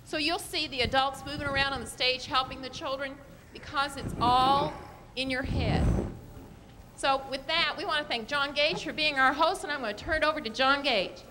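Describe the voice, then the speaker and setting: A woman's voice: sits high, normal speed. A woman giving a welcoming speech to an audience as a school principal at a live stage event. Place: large echoey hall, live stage event. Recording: echoey hall, some background noise.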